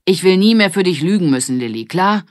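A woman's voice: on the low side, animated, a little fast, no echo, clean studio sound, voice pushed up, natural voice. A woman voicing a stern, irritated father in a children's audiobook, firm and scolding. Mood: stern, reproachful.

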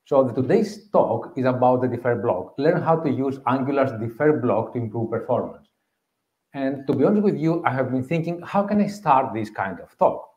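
A man's voice: mid-range voice, expressive, moderate pace, darkish tone. A man in his thirties with a Greek accent gives a conference tech talk, explaining step by step and repeating key points. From Greece. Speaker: conference speaker. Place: meeting room with audience. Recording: roomy, slightly dull live room audio.

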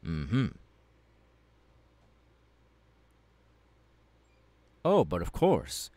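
A man's voice: on the low side, darkish tone, dry recording. A man playing a passionate, courteous young warrior mentor in an ASMR audio roleplay, speaking in an earnest, formal and encouraging way. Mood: friendly, hospitable.

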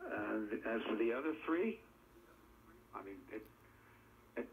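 A man's voice: lowish voice, lively intonation, unhurried. Hesitant man on sports radio, faintly noisy. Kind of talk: off-the-cuff baseball talk radio. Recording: played through radio speaker, faint noise.

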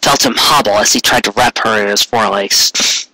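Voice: medium pitch, moderate pace, creaky, bright, forceful tone, no room sound, low-quality recording. A reader giving a dramatic narration of a scripted story. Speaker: fan fiction reader.

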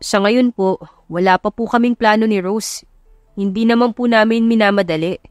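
A woman's voice: medium pitch, moderate pace, no echo, soft background music, natural voice. A devoted young Filipino fiancé, played by a woman, speaks tenderly in a romance audiobook. The sound is dry and close. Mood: polite. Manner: tender, mixing English into talk. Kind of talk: audiobook character acting.

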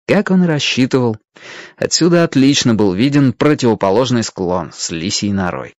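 A man's voice: medium pitch, animated, normal speed, dry recording, muffled recording. A man narrating a children's adventure story expressively from a script. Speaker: audiobook narrator.

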